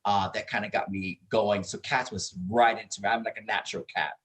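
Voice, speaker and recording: A middle-aged or older man from Hawaii telling stories as an interview guest, expressive and given to repeating words for emphasis. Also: on the low side, fairly quick. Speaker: interview guest, performer and producer. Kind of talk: casual interview storytelling.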